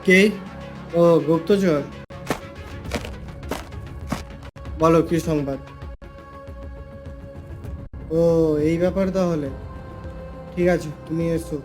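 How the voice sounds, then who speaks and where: A man's voice: on the high side, fairly even intonation, moderate pace, over music, close to an everyday voice, rough recording. A man voicing an imperious, irritable king who frets and turns sarcastic in a comedy cartoon. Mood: commanding. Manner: imperious, sarcastic, worrying aloud. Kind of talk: Bengali comedy cartoon dubbing.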